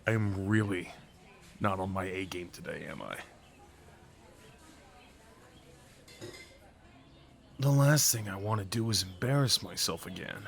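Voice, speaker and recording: A man's voice: sits low, moderate pace, vocal fry, fairly bright tone, a little hiss or hum. A young man acting a shy, sweet, apologetic date in an ASMR roleplay, talking hesitantly to the listener. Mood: flustered, apologetic. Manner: hesitant, apologetic, rambling, sighs and nervous chuckles.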